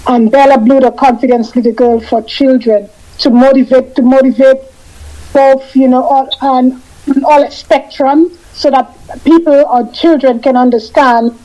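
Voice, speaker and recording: High-voiced woman with a mellow tone. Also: steady tone, poor audio. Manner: halting, repeats and restarts phrases. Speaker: guest. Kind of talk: casual radio interview chat.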